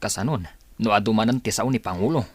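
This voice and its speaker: An actor playing a worried, hesitant and deferential member of a small group in an Ilocano radio drama. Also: male voice, lowish voice, fast talker, breathy edge, dry recording. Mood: worried, pleading.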